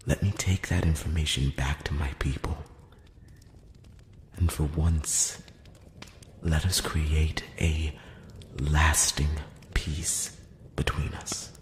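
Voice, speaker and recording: A man playing a courteous, curious elf prince in an intimate ASMR fantasy roleplay, speaking softly and formally with some hesitation, close to the mic. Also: a bass voice, little pitch movement, slow pace, long pauses. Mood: earnest, persuasive. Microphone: close-up microphone. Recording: roomy long reverb, background hiss.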